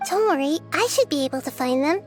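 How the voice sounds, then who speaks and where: An actress voicing a small, gentle girl goddess who speaks softly, earnestly and reassuringly. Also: high voice, expressive, quick pace, soft background music.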